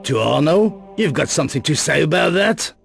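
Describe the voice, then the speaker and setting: An actor playing a wary, menacing underworld boss in a video game, guarded and dismissive in manner. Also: male voice, a little high, animated, moderate pace, gravelly, soft background music. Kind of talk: video game cut-scene acting.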